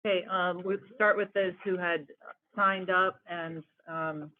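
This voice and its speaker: A man's voice: high voice, steady tone, measured pace. A man reading out a sign-up list at a public meeting in a hesitant, plain way. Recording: tinny phone-like meeting audio.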